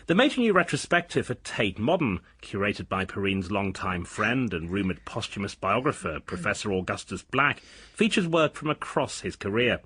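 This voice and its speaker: A brisk male arts show presenter in a radio play, with a lively, slightly husky delivery. He is polished and measured and keeps a firm hand on the discussion, in a dry studio sound. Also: lowish voice, no room sound, full character voice. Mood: smooth, brisk. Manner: polished, measured, interrupts firmly. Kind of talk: scripted radio drama as live arts broadcast.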